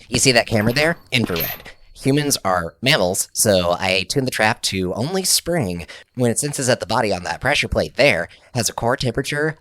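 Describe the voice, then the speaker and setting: A man's voice: on the low side, a bit bright, no echo. A man playing a cocky, easily exasperated inventor in an audio drama, casual, glib and given to grumbling. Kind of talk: scripted audio drama acting.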